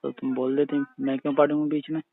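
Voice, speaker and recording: A man's voice: a little high, flat delivery, brisk, creaky. A man chatting casually on a recorded phone call, questioning and protesting defensively.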